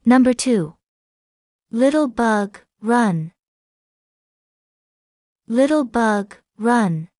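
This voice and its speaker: A woman narrating an English listening test, reading each item slowly and deliberately twice. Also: fairly high pitch, expressive, darkish tone, dry recording. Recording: dry, close studio sound.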